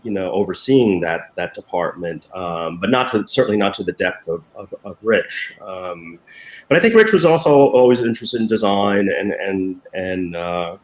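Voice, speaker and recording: Low-voiced hesitant man, echoey room. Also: animated, normal speed. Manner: hesitant, many ums and restarts. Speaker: former design director of a newspaper. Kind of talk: conversational interview answers.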